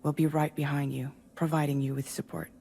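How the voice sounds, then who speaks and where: Game role: calm, supportive female team leader, very low mellow voice, dry humour. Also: a little fast, creaky, slight background noise. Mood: calm, businesslike. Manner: calm, matter-of-fact. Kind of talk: video game cutscene acting.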